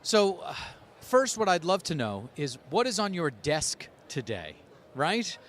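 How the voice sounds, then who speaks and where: A man's voice: a little high, sing-song, a little slow. A man hosting a podcast, reading sponsor spots and an intro with emphasis, then chatting casually with a guest. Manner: upbeat and emphatic in the intro, soft and chatty in conversation. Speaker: podcast host. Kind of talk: scripted intro read, then casual interview.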